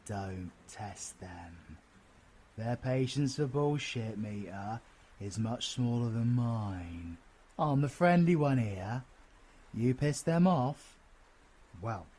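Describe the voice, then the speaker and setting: A man's voice: medium pitch, dark tone. Man acting a cocky British supervillain. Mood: cool menace. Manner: slangy, swaggering, teasing. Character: cocky, sardonic male supervillain, foul-mouthed but affectionate. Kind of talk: audio roleplay drama acting.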